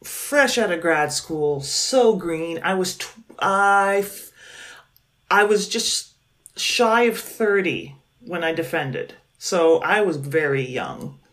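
A woman's voice: fairly low pitch, expressive, slow, a bit bright. A woman in her forties, a university professor talking in a podcast interview, chatty and self-deprecating, laughing often. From Canada. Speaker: university professor and program director.

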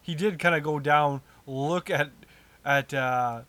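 A man's voice: on the high side, slow, a bit bright, clear, resonant tone. A man co-hosting a casual chat, joking around and laughing easily. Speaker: podcast co-host.

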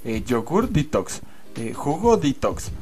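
A man's voice: mid-range voice, very animated, slow pace, darkish tone, slightly rough. Hesitant Mexican man full of fillers. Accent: Mexican Spanish. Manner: hesitant, many fillers, laughs easily. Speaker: co-host. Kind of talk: casual banter.

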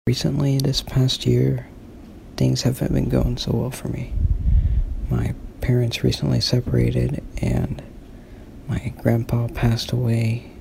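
A young man recording an earnest voice memo on his phone, speaking in a slow, measured way. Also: mid-range voice, completely flat delivery, creaky, noisy background. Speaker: listener sending a voice memo. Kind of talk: casual voice memo.

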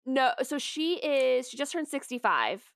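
An American woman in her late thirties from Maine, chatting casually and reflectively in halting phrases. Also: high voice, very animated, creaky, fairly bright tone, smooth, no echo, clean studio sound. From Maine, USA. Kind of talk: casual chat.

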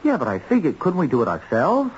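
An actor playing a naive, uneasy small-time crook in an old-time radio drama, halting, slangy and full of questions. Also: male voice, a little high, huge pitch swings, darkish tone, slightly rough, full character voice. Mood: disbelieving, disappointed. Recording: dull, noisy old radio recording.

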